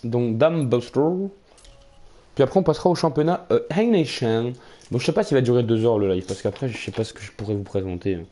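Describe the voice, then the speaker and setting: A man's voice: mid-range voice, a little fast, mellow. A man with a slight Belgian French accent, a streamer giving rambling, casual live commentary on his racing game and swearing often. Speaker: gaming streamer. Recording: game engine noise in background.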